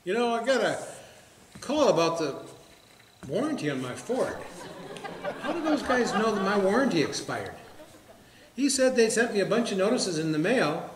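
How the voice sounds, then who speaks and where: A man's voice: high-pitched, sing-song, moderate pace, pauses often, a faint crowd. An actor playing a chatty, needling small-town man at cards in a comic stage play, folksy and plainspoken. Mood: gossipy. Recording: echoey stage with faint noise.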